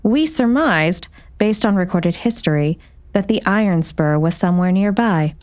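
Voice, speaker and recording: A woman playing a cool, composed doctor in an audiobook drama, speaking smoothly in a formal, measured, controlled way. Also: medium pitch, expressive, a little mellow, lightly acted. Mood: measured, guarded. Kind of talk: audiobook character acting.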